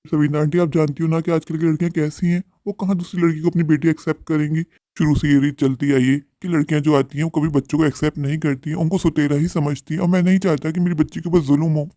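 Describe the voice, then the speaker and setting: A man playing a protective young widowed father in a Hindi cartoon, earnest, respectful and gentle in manner. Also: on the high side, little pitch movement, quick pace, few pauses, dry recording, clean studio sound, close to an everyday voice. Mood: worried.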